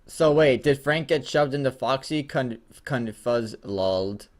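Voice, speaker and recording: A man talks in a mid-range voice as co-host of a fan fiction reading show. His delivery is loose and joking, and he rambles, halting and stammering as he works through a thought. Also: mid-range voice, lively intonation. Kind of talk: casual joking chat.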